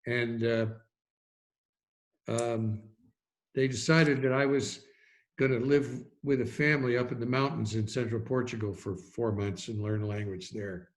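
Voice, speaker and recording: A man's voice: mid-range voice, fairly even intonation, a little slow. Older man telling rambling stories. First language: English. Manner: rambling, reminiscing storyteller. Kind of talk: casual anecdotal chat. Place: online video call.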